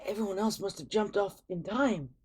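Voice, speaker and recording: Woman doing an awkward, earnest Viking boy, high-pitched. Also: moderate pace, darkish tone, breathy edge, close to an everyday voice. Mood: explaining. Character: shy, awkward but indignant young Viking boy.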